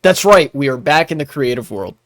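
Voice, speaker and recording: A man's voice: mid-range voice, lively intonation, brisk, no echo. A young man streaming while gaming, talking casually with many restarts and blunt asides.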